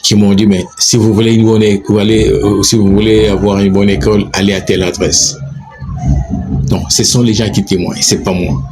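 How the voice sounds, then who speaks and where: A man's voice: lowish voice, little pitch movement, a little fast. A man heading a school, answering interview questions emphatically, with many stammered restarts. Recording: loud music behind.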